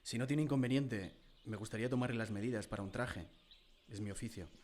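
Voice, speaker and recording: A man's voice: lowish voice, a little fast, darkish tone, slight background noise, lightly acted. An actor playing an earnest village tailor, a husband and father, in a radio drama. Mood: courteous. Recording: music behind the voice.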